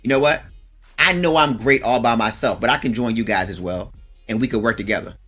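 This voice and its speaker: A man's voice: medium pitch, brisk, a bit bright, faint music behind. A man co-hosting a podcast in a casual chat, often acting out what others might say. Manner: acts out quoted lines, interjects agreement. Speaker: podcast host.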